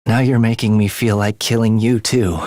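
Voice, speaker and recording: An actor playing a morbid young man in a video game, a soft-spoken killer who is languid, menacing and gleefully excited by death. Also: fairly low pitch, normal speed, no echo, studio quality, lightly acted. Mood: brooding, detached. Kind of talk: theatrical video game voice acting.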